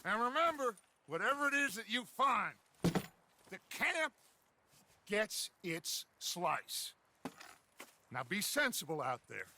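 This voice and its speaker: A charismatic American outlaw leader in a cinematic game. His voice is high and swings widely, with slow, oratorical phrasing. Faint noise sits behind him. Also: very animated, full character voice. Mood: commanding. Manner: theatrical, oratorical, commanding. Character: charismatic, grandiose middle-aged outlaw gang leader. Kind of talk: dramatic video game acting.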